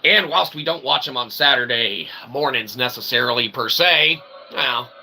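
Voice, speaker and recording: A man's voice: mid-range voice, lively intonation, moderate pace, sharp tone. A man delivering a rambling comedic pseudo-philosophical monologue as a streamer, mixing mock-formal wordy phrasing with crude swearing and short tag questions. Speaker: solo streamer and channel host. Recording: clearly noisy, with ambient background sound.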